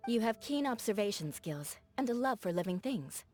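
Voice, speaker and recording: A woman's voice: mid-range voice, big pitch swings, normal speed, a little mellow, soft background music. A woman voicing a proud, blunt young knight with a formal manner in a video game. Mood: brusque, encouraging. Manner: haughty, formal, awkwardly kind. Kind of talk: scripted game voice acting.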